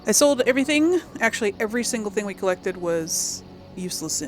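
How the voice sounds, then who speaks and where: A woman's voice: medium pitch, animated, brisk, faint music behind. A woman hosting a let's play, chatting casually to her viewers in an informal, playful way. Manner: chatty, addresses viewers, giggly asides. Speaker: gaming video host.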